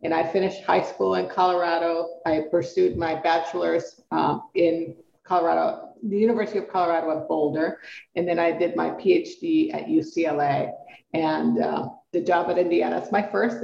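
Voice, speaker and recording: A middle-aged woman from Puerto Rico, a professor answering interview questions in a thoughtful, measured way with pauses and restarts. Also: fairly low pitch, steady tone, gravelly, a little mellow, roomy sound, dull audio. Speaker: guest, English professor.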